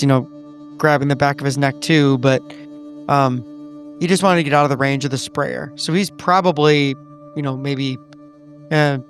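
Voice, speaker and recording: Higher-voiced male player, hesitant. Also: a bit bright. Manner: hesitant, describing his character's actions.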